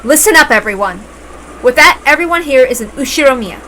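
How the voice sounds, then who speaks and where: A woman's voice: sits high, lively intonation, brisk, pushed and bright, a little hiss or hum. A woman voicing a shrewd, suspicious mother who gives polite but pointed orders in a story reading. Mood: sharp, sly. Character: shrewd, suspicious mother taking command of her family.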